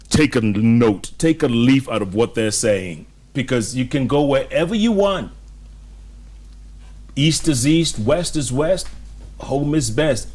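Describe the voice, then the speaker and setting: A man with a Kenyan English accent hosting a live TV talk show, upbeat and animated as he signs off. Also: mid-range voice.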